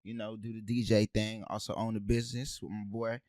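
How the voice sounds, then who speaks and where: A young man from Haiti co-hosting a casual podcast, an animated storyteller who says "bruh" and "man" a lot. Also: lowish voice, a little fast, dry recording, studio quality. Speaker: podcast co-host. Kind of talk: casual chat.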